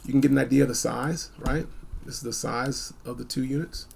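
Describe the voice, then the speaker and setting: A man giving a casual, unscripted gadget review, blunt and informal, rambling and repeating phrases for emphasis, with a gravelly, husky voice. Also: medium pitch, fairly even intonation, fairly quick, a little mellow, slight background noise. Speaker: product reviewer.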